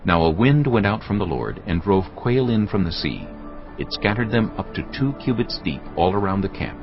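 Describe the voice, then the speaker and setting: A man narrating scripture from a script in a formal, measured storytelling manner. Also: low voice, dull audio. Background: music.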